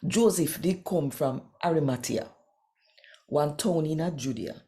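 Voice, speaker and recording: A woman's voice: deep voice, mellow. A woman with a Jamaican accent hosting a devotional channel and reading scripture in Patois. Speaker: host and scripture reader.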